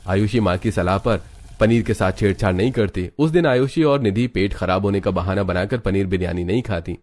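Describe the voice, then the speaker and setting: Low-voiced male cartoon narrator. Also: a little fast, darkish tone. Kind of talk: scripted storybook narration.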